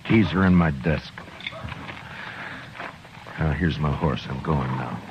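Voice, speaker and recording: An actor playing a grim, determined frontier marshal in a 1950s radio western, speaking quietly and tersely. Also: male voice, very deep voice, slow pace, background noise. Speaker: marshal and narrator. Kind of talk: 1950s radio drama acting. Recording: dull lo-fi vintage radio recording.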